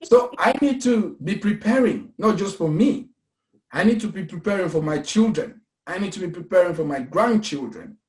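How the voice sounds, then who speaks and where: Cameroonian guy in his 30s selling investing, kinda high voice, repeats stuff like a preacher, roomy echo. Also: expressive, moderate pace, a little mellow. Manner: earnest, repetitive, preacher-like emphasis. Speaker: investment company founder. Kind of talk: casual pitch talk. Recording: echoey room.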